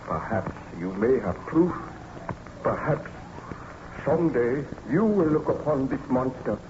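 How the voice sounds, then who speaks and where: A man's voice: medium pitch, very animated, a little slow. A man playing a tormented, guilt-ridden scientist nobleman in formal, theatrical old-time radio drama style. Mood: grave, confiding. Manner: grave, melodramatic, formal theatrical diction. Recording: dull, noisy old radio recording.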